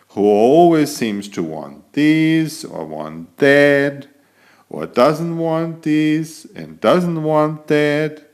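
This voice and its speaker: An elegant storybook tomcat played by a man who is very pleased with himself. He speaks slowly, with pitch that rises and dips freely, and talks straight to the children listening. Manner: self-admiring, theatrical, addresses young listeners directly. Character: elegant, vain, chatty tomcat telling his adventures. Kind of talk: animated children's picture-book narration.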